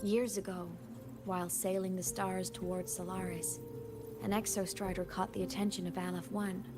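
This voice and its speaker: A woman's voice: on the low side, level tone, moderate pace, music in the background, lightly acted. A woman voicing a young video game heroine with a theatrical, dramatic delivery and wounded bravado. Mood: brooding, dramatic. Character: young heroine, vengeful toward her foe, wounded bravado.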